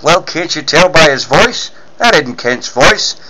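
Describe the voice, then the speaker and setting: Man acting as fatherly newspaper editor, bright forceful tone, brisk exclamations, old-time radio drama. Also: fairly high pitch, expressive, full character voice, rough recording. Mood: resigned. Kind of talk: 1940s radio serial acting.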